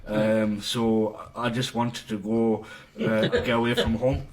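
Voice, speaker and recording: A man, an imam, answering podcast interview questions in a halting way with many fillers. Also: on the low side, level tone, recorded from a distance.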